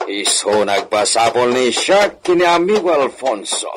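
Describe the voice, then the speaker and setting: Slow-paced radio drama storyteller. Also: male voice, medium pitch, very animated, noisy background. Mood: measured. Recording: music under the voice. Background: music.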